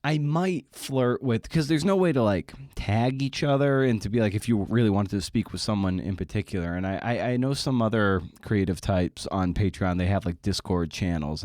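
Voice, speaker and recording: Low-voiced casual podcast host, a man, close and dry. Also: darkish tone, no echo. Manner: informal, slangy, self-deprecating. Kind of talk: casual, rambling podcast intro monologue.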